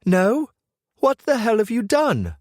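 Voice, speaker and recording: A man voicing an angry young woman who accuses her partner over the phone, sharp and furious. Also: high voice, wildly swooping pitch, moderate pace, no echo, clean studio sound, voice pushed up, heavily acted.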